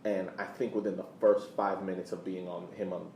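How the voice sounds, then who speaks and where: A middle-aged man with a low voice reminisces casually about sport as a podcast co-host. He leans on repeated phrases for emphasis. The sound is roomy and set back from the mic, with a little background noise. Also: flat delivery, fairly quick, a little mellow, far from the mic.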